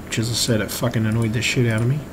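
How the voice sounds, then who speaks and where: A man with a croaky, strained voice, giving a casual, hesitant, rambling update like a vlogger. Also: medium pitch, flat delivery, rapid-fire. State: strained voice, throat-clearing. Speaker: vlogger and computer repairer. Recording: clearly noisy room recording.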